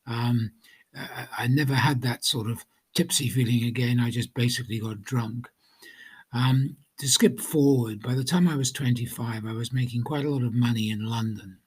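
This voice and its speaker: Husky-voiced man from Wales, hesitant. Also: mid-range voice, normal speed. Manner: hesitant, many ums and restarts. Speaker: guest telling his recovery story. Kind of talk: personal testimony.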